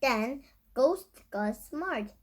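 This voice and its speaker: A child host reading a picture book aloud to viewers in a halting, deliberate way. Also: sits high, animated. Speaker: storyteller and video host.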